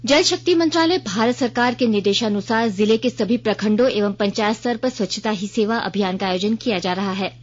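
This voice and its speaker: A woman with an Indian accent reading a formal, scripted news bulletin as a radio newsreader. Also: mid-range voice. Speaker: news reader. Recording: dull, band-limited radio broadcast sound.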